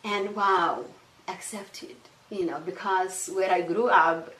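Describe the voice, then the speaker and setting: A woman's voice: fairly low pitch, steady tone, a bit bright, reverberant, far from the mic, rough recording. Woman with a Somali accent. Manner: earnest, halting, many restarts and "you know". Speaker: guest. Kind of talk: casual testimony interview.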